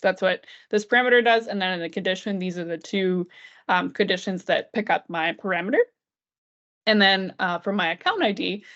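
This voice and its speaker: A woman working as a software trainer, explaining a screen-share tutorial in an informal, conversational way, talking through each step aloud. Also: mid-range voice. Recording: dull, band-limited lo-fi audio.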